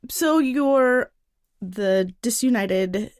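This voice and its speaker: A woman's voice: on the high side, lively intonation, very slow, no echo, clean studio sound. A woman interviewing a film director, asking friendly questions and sometimes tripping over her words. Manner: friendly, slightly tongue-tied.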